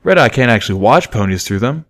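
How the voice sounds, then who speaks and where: A man's voice: medium pitch, sing-song, quick pace, fairly bright tone. A man voicing a sassy, blunt mare pony in an audiobook, with folksy, slangy talk. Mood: dismissive.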